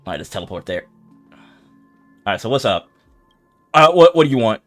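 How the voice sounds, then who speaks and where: A man's voice: lowish voice, sing-song, fairly quick, faint music behind. A man streaming a video game, chatting casually in an informal, rambling way as he comments.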